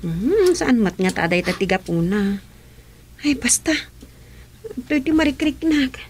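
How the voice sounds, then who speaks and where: High-voiced, worried village daughter played by an actress. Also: female voice, big pitch swings, unhurried, darkish tone, husky, full character voice. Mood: fretful. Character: worried, stubborn grown daughter in a rural village. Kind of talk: comedy radio drama acting in Ilocano.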